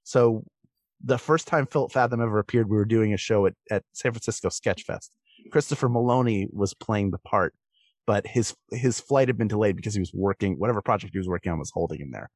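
A man's voice: on the low side, brisk, dry recording. A man, a voice actor, telling anecdotes in a casual podcast interview.

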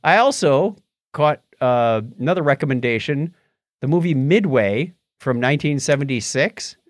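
A man's voice: mid-range voice, lively intonation, moderate pace, dry recording, clean studio sound. A middle-aged man co-hosting a podcast, recounting things casually and often repeating the starts of his phrases. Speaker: podcast co-host. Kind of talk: casual chat, recounting films.

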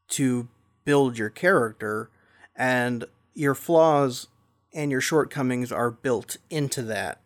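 Man, interview guest, game master, medium-pitched, articulate, explanatory, conversational.

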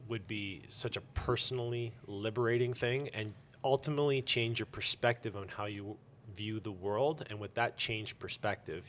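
Low-pitched man chatting candidly. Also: a little hiss or hum. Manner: candid, jokey, emphatic, sometimes crude. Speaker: interview guest, comic book store owner. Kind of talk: casual interview chat.

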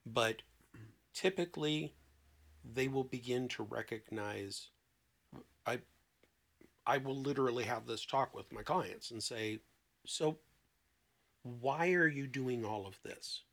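A man speaking conversationally as a therapist on a podcast panel, blunt and emphatic, with deliberate pauses and rhetorical questions. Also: medium pitch, gravelly, fairly bright tone. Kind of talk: conversational podcast talk with acted-out client dialogue.

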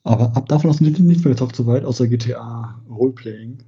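A man's voice: mid-range voice, fairly quick, dark tone, slightly rough. A man who is a guest on a podcast, making short, dry remarks in casual chat. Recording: dull lo-fi sound.

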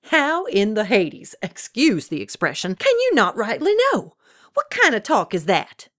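A woman's voice: on the low side, animated, measured pace, dry recording, studio quality. A woman voicing a feisty, demanding widow, in a told story. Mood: indignant. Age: 80s. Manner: folksy, demanding, scolding. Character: feisty, indignant old Southern woman, nearly eighty-seven.

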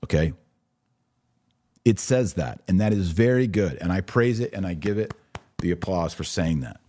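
A low, mellow-toned man delivers religious commentary as a podcast host. His voice is slightly husky and very dryly recorded, and he explains things in an emphatic, conversational teaching manner. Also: expressive, normal speed, dry recording, clean studio sound. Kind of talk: live religious commentary, answering listener questions.

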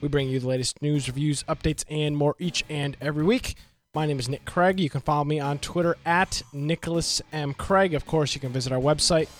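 A man's voice: on the high side, a little fast, soft background music. A man hosting a gaming podcast, talking in upbeat, teasing casual chat. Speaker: podcast host.

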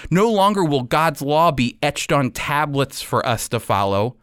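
A man with an American accent hosting a radio program, teaching the Bible in an emphatic, deliberate way. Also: fairly high pitch, very animated, a bit bright, clear, resonant tone, no echo, clean studio sound.

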